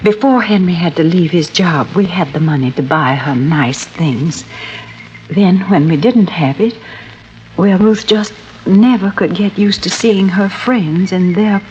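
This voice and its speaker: A woman playing a genteel middle-aged mother in an old radio drama. She talks very slowly, polite and wistful, on a muffled vintage recording with faint noise. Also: on the low side, a little mellow, natural voice.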